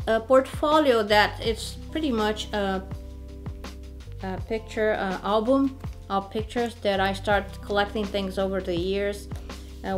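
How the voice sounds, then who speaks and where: A woman whose first language is Portuguese, a knitting teacher talking casually and a little ramblingly about her keepsakes. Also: medium pitch. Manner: warm, rambling, non-native grammar. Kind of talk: casual personal vlog, showing keepsakes.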